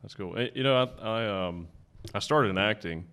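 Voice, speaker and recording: A man in his early forties hosting a casual podcast interview, friendly and quick with short yeahs. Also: lowish voice, sharp tone, smooth. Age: 40s. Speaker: podcast host.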